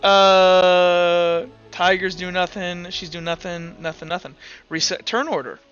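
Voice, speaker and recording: A man's voice: high voice, fairly even intonation, a little slow, fairly bright tone, lo-fi sound. A man narrating a tabletop roleplaying game as game master, in a casual, informal way. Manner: casual, informal, sound-effect-laden descriptions. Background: music.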